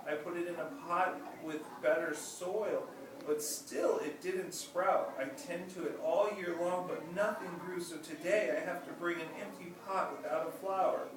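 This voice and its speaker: A man doing a tearful little boy who pleads in a high, strained voice, crying as he explains himself. It is heard from a distance in a very echoey room with clear background noise. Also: steady tone, measured pace. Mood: tearful pleading. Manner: pleading, rising voice. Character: young boy, ashamed and tearful.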